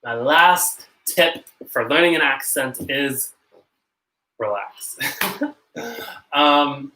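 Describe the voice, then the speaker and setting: A young man with a North American accent talks to his camera at home in a loose live-stream chat. His tone is bright and forward, and he rambles a little, sounding self-conscious and breaking into quick laughs now and then. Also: mid-range voice, rough recording. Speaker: channel host.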